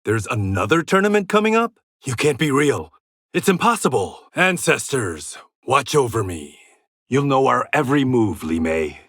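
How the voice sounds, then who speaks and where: A man's voice: mid-range voice, big pitch swings, normal speed, a bit bright, no echo, clean studio sound. An actor playing a proud, stern blind swordsman in a fighting game, with clipped, taunting and wry delivery. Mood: wry, guarded, then menacing, taunting. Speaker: video game fighter character. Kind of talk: dramatic fighting game voice lines.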